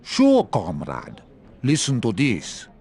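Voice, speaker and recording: A man's voice: lowish voice, huge pitch swings, slow pace, gravelly, darkish tone, full character voice. An actor playing a laid-back, wry male piano player in a video game cutscene.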